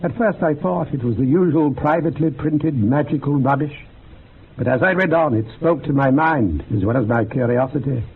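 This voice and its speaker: An actor playing an earnest, bookish occult researcher in a radio drama, lecturing in a measured, gravelly, husky voice. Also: male voice, on the high side. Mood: scholarly, absorbed. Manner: measured, lecturing, quotes texts with relish. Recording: muffled phone-like old radio recording.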